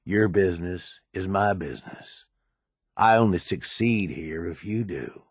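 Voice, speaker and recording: A man voicing a cynical, ruthless raider henchman in a video game, with a rough husky voice and a folksy, slangy, sardonic drawl. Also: low voice, animated, a little slow, dry recording, clean studio sound, heavily acted. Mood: confident, conspiratorial. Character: gruff cynical ruthless male raider henchman in a post-apocalyptic wasteland.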